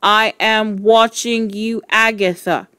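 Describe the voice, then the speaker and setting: A woman reader puts on a commanding ghost from a storybook. The words come very slowly and deliberately, heavy with menace, in a close, dry sound. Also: mid-range voice, fairly even intonation, sharp tone, smooth, no echo. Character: ghostly invisible writer, slow, menacing, commanding.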